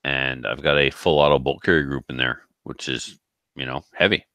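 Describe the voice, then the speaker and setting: A man's voice: a bass voice, steady tone, normal speed, sharp tone, dry recording. A man chatting casually as a podcast co-host. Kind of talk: casual chat.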